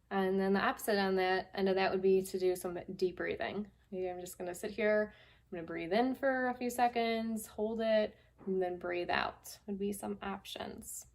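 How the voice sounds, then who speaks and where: Female storyteller for a children's read-aloud, mid-range voice, simple and deliberate phrasing. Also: medium pitch. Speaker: guest storyteller, school staff member.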